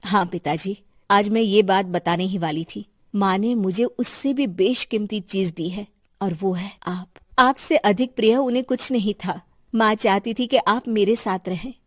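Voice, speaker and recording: A woman's voice: mid-range voice, unhurried, no room sound, clean studio sound, close to an everyday voice. A woman voicing a kind, respectful, devoted daughter-in-law in a family story, speaking politely and earnestly. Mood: earnest, tender.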